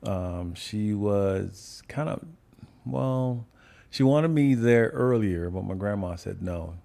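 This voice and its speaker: A man, a podcast guest telling relaxed stories in casual chat and laughing often. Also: on the low side, slow pace, gravelly. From Jamaica.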